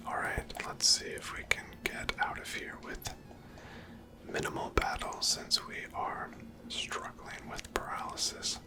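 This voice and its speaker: A person whispering gentle, chatty ASMR commentary over a video game, breathy and close to the microphone. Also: unhurried. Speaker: gameplay host.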